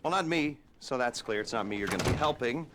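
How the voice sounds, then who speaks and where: Guy playing a jittery middle-aged crook planning heists in a game, rambles, hedges everything, dry and wry, normal guy pitch. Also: lively intonation, fast talker, noisy background, close to an everyday voice. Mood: evasive, cagey. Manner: rambling, wry, self-correcting, hedging. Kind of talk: video game cutscene briefing.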